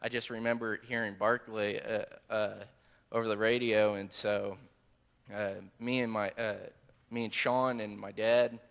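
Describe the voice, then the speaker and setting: Young male graduate, sincere and halting, echoing hall. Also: on the low side, level tone, gravelly, a bit bright. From Kansas. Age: young adult. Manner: earnest, halting, many fillers. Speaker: graduating student.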